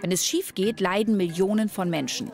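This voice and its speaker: A woman's voice: medium pitch, moderate pace, darkish tone. Female documentary narrator over music.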